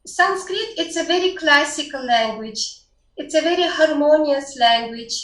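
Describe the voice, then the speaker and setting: A woman in her forties speaks English with a Kazakh accent, her high voice slow and earnest, broken by frequent fillers. She sits at a distance from the mic in an echoey room. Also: lively intonation, a bit bright. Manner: hesitant, many fillers, earnest. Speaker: guest, dance teacher. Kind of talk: casual interview answers. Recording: roomy echo, distant from mic.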